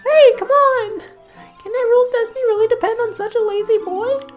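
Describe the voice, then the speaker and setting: Man putting on a tiny fairy girl, bossy, nagging, squeaky, very high voice. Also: fairly even intonation, moderate pace, soft background music.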